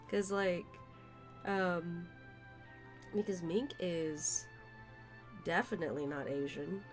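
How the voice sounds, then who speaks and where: A woman's voice: mid-range voice, a bit bright. A young woman streamer, congested from allergies, chatting in a rambling way with many fillers while playing a visual novel. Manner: rambling, filler-heavy, self-interrupting. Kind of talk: casual let's-play commentary.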